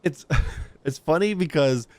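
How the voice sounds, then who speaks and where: A man's voice: on the high side, expressive, measured pace, darkish tone, dry recording. A man giving casual gaming commentary, reacting aloud in a slangy, chatty way as he plays. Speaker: streamer playing and reacting to a game.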